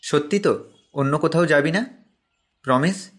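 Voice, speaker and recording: A man playing a sweet, earnest teenage schoolboy in an audio love story, gentle and coaxing. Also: on the high side, moderate pace, close to an everyday voice. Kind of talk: Bengali audio story acting.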